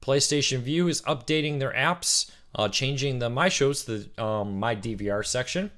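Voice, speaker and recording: A man hosting a casual weekly live-stream Q&A, greeting viewers and answering their questions, his voice a little rough from cheering. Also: medium pitch, normal speed, fairly bright tone. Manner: chatty, reads out viewer comments and greets them by name.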